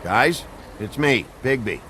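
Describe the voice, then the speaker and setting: An actor playing a gruff, weary, impatient sheriff in a video game, talking in a terse, no-nonsense way. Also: male voice, medium pitch, lively intonation, slow pace, sharp tone, a little hiss or hum. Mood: guarded. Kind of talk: dramatic video game acting.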